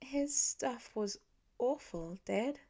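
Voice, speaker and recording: A woman voicing an earnest teenage son who speaks haltingly as he works things out in an audiobook. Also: fairly high pitch, expressive, warm tone, heavily acted. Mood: troubled. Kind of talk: acted audiobook dialogue.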